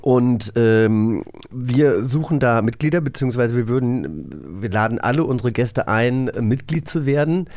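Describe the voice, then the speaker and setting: A man's voice: medium pitch, animated, measured pace, few pauses, a little mellow, no echo. A man co-hosting a podcast, chatting casually in a studio. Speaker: podcast co-host. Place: dry studio.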